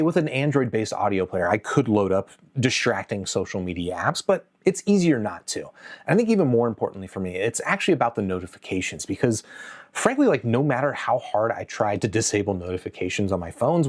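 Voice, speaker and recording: A man's voice: fairly low pitch, big pitch swings, brisk. A man presenting a casual video review as host, speaking in a conversational, opinionated and lightly joking way. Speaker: host of an audio gear review channel.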